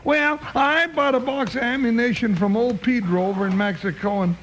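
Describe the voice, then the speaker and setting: An American man playing a comic, excitable folksy cowboy sidekick with an exclamatory drawl in an old-time radio western. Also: very high voice, normal speed. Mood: puzzled, indignant. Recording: noisy old radio recording.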